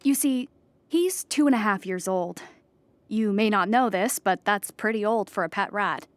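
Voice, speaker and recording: An actress playing an anxious, earnest, depressed clown in a theatrical audio drama monologue, explaining with dramatic emphasis. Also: female voice, medium pitch, normal speed, no room sound, lightly acted. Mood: earnest, fond.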